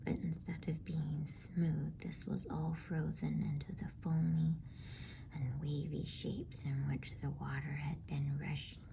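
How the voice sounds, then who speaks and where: A woman reading a bedtime story in a soothing, breathy ASMR whisper with deliberate pauses. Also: sits low, flat delivery. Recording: faint background noise.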